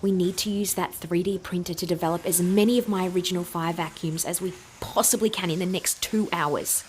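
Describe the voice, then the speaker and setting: A woman's voice: lowish voice, steady tone, a little mellow, a little hiss or hum, close to an everyday voice. A woman playing a driven inventor and team leader in an audio drama, decisive and commanding. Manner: decisive, brisk orders.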